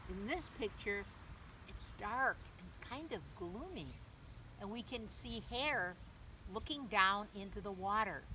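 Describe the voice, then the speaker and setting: A woman reading a picture book aloud to young children, gently, in simple phrases, pausing to ask the listener questions. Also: mid-range voice, animated. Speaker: children's story reader, teacher. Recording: clearly noisy background.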